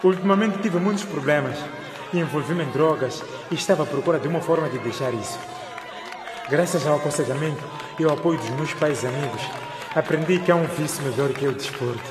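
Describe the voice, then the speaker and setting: A man's voice: a little high, a little fast, lightly acted. A man playing an earnest, polite teenage boy in a radio drama. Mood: proud, earnest. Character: earnest, modest teenage boy, school swimmer. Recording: echoey hall, noisy crowd behind.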